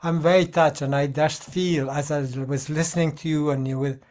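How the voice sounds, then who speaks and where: A man sharing reflective thoughts informally on a video call, hesitantly, pausing and repeating words as he goes. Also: fairly high pitch, steady tone, a little mellow. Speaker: participant giving thanks at an online gathering.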